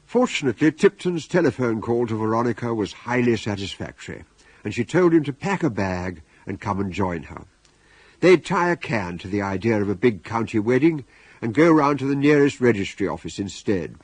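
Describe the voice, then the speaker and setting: A man's voice: medium pitch, very animated, brisk, a little mellow, breathy edge, dry recording. A man with a British accent giving wry scripted narration as the storyteller of a radio comedy. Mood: wry, narrating.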